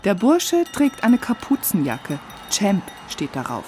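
A woman's voice: mid-range voice, huge pitch swings, measured pace, mellow, a little hiss or hum. A woman narrating in the first person, reading scripted literary prose in a reflective way. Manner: reflective, literary present-tense storytelling. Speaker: narrator and author.

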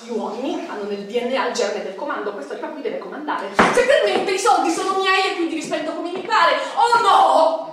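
A woman's voice: sits high, fairly quick, close to an everyday voice. An actress playing a chatty, neurotic left-wing bourgeois woman in an Italian radio drama, rambling and correcting herself with nervous laughter. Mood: defensive. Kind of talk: radio drama acting before an audience. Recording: echoey, distant microphone, faint noise.

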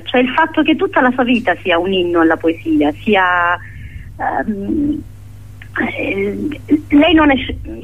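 A woman talking reflectively in an interview, hesitant, often searching for words and repeating herself. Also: on the high side. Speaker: playwright being interviewed. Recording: narrow phone-line sound.

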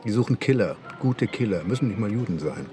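An actor playing a cynical, quietly menacing intelligence agent in a radio crime drama, dry and insinuating. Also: male voice, on the low side, expressive, fairly quick, natural voice.

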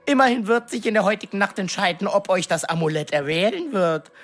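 A woman's voice: medium pitch, lively intonation, brisk, natural voice. Woman as a pompous little demon servant, swooping wildly. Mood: fussy, indignant. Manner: pompous, flowery, servile courtly address. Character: small fat warty green demon servant, fawning, cheeky, self-important. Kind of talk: radio drama acting.

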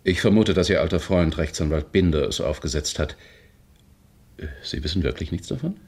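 A smarmy broker in a radio mystery, with a very low, rough-edged voice. He is stiffly polite, hesitant and ingratiating, as if hiding his motives. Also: expressive, fairly quick, a little mellow, heavily acted. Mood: insinuating. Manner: stilted politeness, hesitant, oily. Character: smarmy, ingratiating male real estate broker with hidden motives. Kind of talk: German radio crime drama acting.

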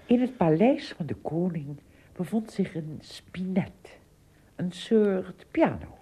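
A woman's voice: fairly low pitch, animated, takes it slowly, warm tone, breathy edge. A woman narrating a radio play in a scripted storytelling style.

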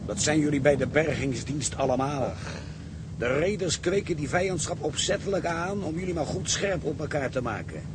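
A rather high male voice over clear engine noise. An actor plays a reasonable, optimistic ship's engineer in a radio play, making his case earnestly and persuasively. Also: animated, moderate pace, lightly acted. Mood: argumentative.

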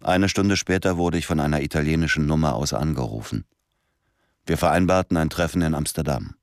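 A man's voice: a bass voice, fairly quick, no echo, studio quality. An actor playing an exhausted, suspicious former agent who tells his story in a restrained, brooding way in a radio drama. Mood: brooding, weary. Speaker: narrator and lead character. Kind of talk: radio drama narration and dialogue.

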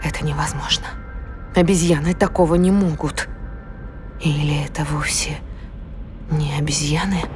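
A woman's voice: low-pitched, fairly even intonation, normal speed, pauses often, darkish tone, soft background music, close to an everyday voice. An actress playing an anxious, bewildered woman scientist in an audio drama. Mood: shaken disbelief. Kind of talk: dramatised audio play acting.

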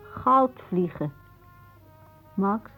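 An actress playing a weary, bitter older aunt in a radio drama, musing theatrically. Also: female voice, mid-range voice, animated, extremely slow, faint music behind. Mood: brooding, sardonic. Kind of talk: radio drama acting, monologue-like. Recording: muffled, narrow-band old radio recording.

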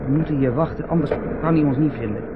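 An actor playing an anxious, fussy husband in a radio drama, speaking in fretful, hesitant short phrases. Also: male voice, a little high, quick pace, close to an everyday voice. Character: anxious, fussy husband on a hot holiday trip. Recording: muffled, noisy 1970s radio recording.

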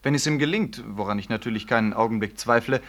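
An actor playing an eager, self-important junior lecturer in a German radio play, glib and self-righteous. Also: male voice, mid-range voice, fast talker, fairly bright tone, natural voice.

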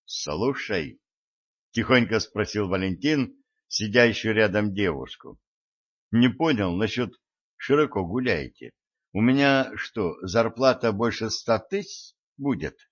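A man's voice: on the low side, animated, measured pace. A man narrating an audiobook, reading the dialogue with small shifts for each character. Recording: dull lo-fi audio.

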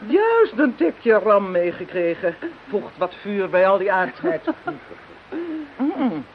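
A genial, eccentric queen of witches muses and chats theatrically about the stars in a radio drama. Her delivery is highly animated, on a muffled recording with faint hiss. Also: slow pace. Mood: musing, playful. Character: eccentric, genial self-styled witch queen, female astrologer. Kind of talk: Dutch audio drama acting.

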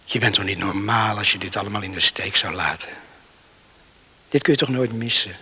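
An actor playing an earnest young island man in a radio drama, rescued from a storm, emotional and halting in his wonder. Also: on the low side, bright, forceful tone, breathy edge, heavily acted. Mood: tender wonder.

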